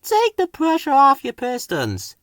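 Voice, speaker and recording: A man plays a big old storybook steam engine, friendly and eager to help. The delivery is hearty and folksy, leaping up high with huge swings in pitch. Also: wildly swooping pitch, measured pace, fairly bright tone, no room sound, clean studio sound, exaggerated voice. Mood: kindly, concerned.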